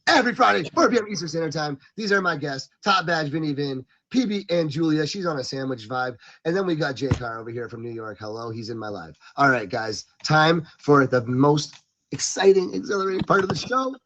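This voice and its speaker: A man hosting a casual live stream show in slangy, animated, informal talk, speaking straight to the audience. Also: on the high side, brisk, a little hiss or hum. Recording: dull lo-fi streaming audio.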